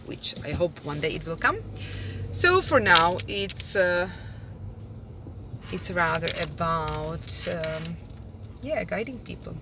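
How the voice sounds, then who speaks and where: A woman in her thirties with a Czech accent, talking casually about her work as a tour guide, unhurried and thoughtful with many pauses and fillers. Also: fairly low pitch, gravelly. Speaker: tour guide and language teacher. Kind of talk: casual talk to camera. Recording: distant mic, loud traffic noise outdoors.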